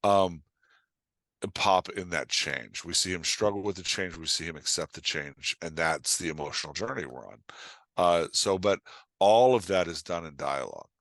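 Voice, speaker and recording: A man's voice: low-pitched, animated, moderate pace, creaky, fairly bright tone, dry recording. A man giving a casual interview as a guest writing coach, explaining craft emphatically and checking in with the listener as he goes.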